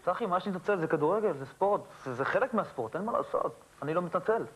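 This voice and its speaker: Unapologetic footballer, man, slightly high. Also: animated, fairly bright tone, slightly rough, poor audio. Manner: defiant, unapologetic. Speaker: footballer guest. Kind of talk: comic sketch guest.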